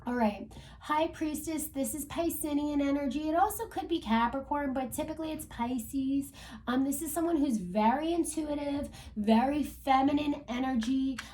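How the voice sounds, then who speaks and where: A woman with a hoarse, fading voice from a cold, giving a chatty tarot reading at home with lots of fillers. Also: high-pitched, normal speed, warm tone, far from the mic, a little hiss or hum. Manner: chatty, filler-heavy, talks to spirit and viewers. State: hoarse, losing her voice from a cold. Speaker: tarot reader. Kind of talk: casual tarot reading to camera. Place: upstairs room at home.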